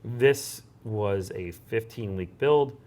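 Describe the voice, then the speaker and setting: A man's voice: fairly low pitch, slow pace, darkish tone. A man speaking as an interview guest, a fire chief explaining things conversationally.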